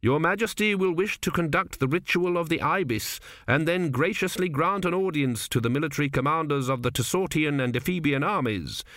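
Man doing a fussy, high-pitched royal high priest. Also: lively intonation, brisk, few pauses, a bit bright, no room sound, clean studio sound. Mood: formal, officious. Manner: formal, deferential, plaintive. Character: fussy male royal high priest, obsequious, ritual-bound, flustered.

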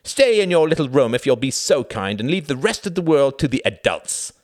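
A man's voice: on the low side, wildly swooping pitch, normal speed, fairly bright tone, dry recording, studio quality, pitched above the performer's own voice. A man voicing a haughty, spiteful, well-educated young upper-class brother in an audiobook, theatrical, sneering and condescending. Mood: cold fury. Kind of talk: audiobook character acting.

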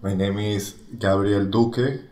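A man from Venezuela, a touring guitarist, introducing himself in a slow, measured way. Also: fairly low pitch, steady tone, a little mellow, reverberant.

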